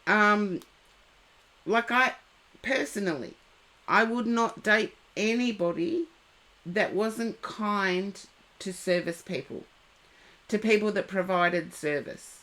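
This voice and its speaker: A woman with a head cold, giving tarot readings and gentle advice to viewers on a live stream. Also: medium pitch, low-quality recording. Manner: slow, unhurried, gently advising viewers by name.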